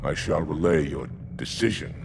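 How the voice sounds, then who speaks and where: Very deep, growling alien warrior commander. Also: male voice, normal speed, vocal fry, noisy background. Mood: restrained, deferential. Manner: formal, indignant, restrained deference. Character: proud, stern male alien warrior commander.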